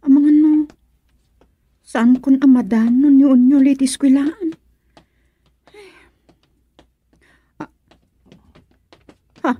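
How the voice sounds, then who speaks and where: A woman's voice: high-pitched, steady tone, takes it slowly, pauses often, dry recording, lo-fi sound. A woman playing a fretful village mother in a radio comedy drama. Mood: worried.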